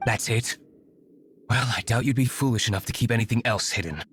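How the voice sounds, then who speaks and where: A man's voice: mid-range voice, fairly quick, fairly bright tone, slight background noise, natural voice. An actor voicing a cool, stern young male vigilante in a video game, speaking formally and with controlled menace. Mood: composed, serious.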